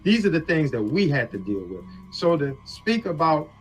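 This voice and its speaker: A man's voice: fairly high pitch, very animated, normal speed. Insistent, lecturing man on a phone call. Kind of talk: argumentative phone conversation. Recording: leaked phone call with music underneath.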